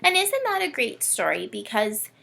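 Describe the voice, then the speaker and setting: A woman reading a story aloud to children in an animated, expressive way, inviting them in. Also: a little high, normal speed, creaky, a little hiss or hum. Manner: animated, asks the young listeners questions.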